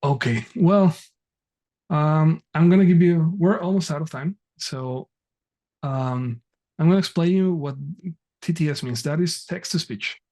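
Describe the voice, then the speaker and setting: A man presenting an online technical workshop over a video call, talking haltingly with many fillers and restarts. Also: a little high, warm tone.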